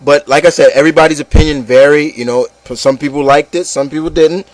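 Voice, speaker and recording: A man's voice: on the high side, fairly even intonation, fast, no room sound, rough recording. A man hosting an online show, talking casually and emphatically in a group discussion, often repeating himself for stress. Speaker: host of an online opinion show.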